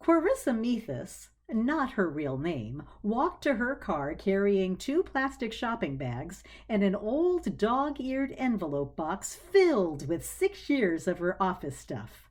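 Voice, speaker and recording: A woman reading a scripted story as narrator, with an expressive storytelling delivery. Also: mid-range voice, slow pace, few pauses.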